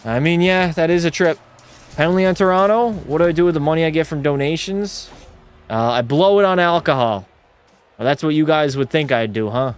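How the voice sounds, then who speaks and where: A male streamer giving casual play-by-play of a hockey game and answering his chat in a relaxed way. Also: on the high side, fairly quick, smooth, faint music behind. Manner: relaxed, drops into terse hockey call mode.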